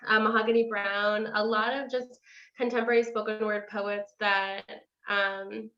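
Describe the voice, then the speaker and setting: A woman's voice: mid-range voice, a bit bright. A woman poet from the spoken word scene, speaking enthusiastically about poets she admires. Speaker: poet, society president. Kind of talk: panel discussion after a reading. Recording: roomy, dull lo-fi sound.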